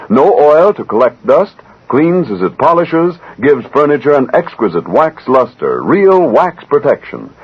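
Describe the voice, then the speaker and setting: A man's voice: mid-range voice, breathy edge. An American man reading a scripted product advertisement as a 1940s radio announcer. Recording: muffled 1940s radio recording.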